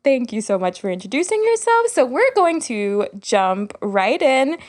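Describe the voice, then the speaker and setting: A woman's voice: sits high, big pitch swings, a little slow. A young woman hosting a podcast, asking questions casually with lots of laughter and fillers. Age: young adult. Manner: warm and laughing, with many fillers. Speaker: podcast host. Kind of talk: casual interview.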